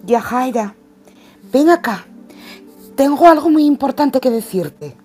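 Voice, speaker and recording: A firm, protective Spanish stepmother, acted by a woman. She talks in a colloquial, scolding radio soap style over soft music. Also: high voice, expressive, takes it slowly, breathy edge, full character voice. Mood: stern, urgent. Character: bossy, protective Spanish stepmother guarding family honour. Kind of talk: radio soap opera acting.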